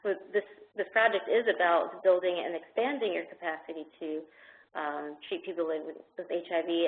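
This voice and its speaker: A woman program manager answering questions on a conference call, explaining at length with some hesitations. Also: fairly low pitch. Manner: explanatory, hesitant with fillers and restarts. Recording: tinny phone line with faint hiss.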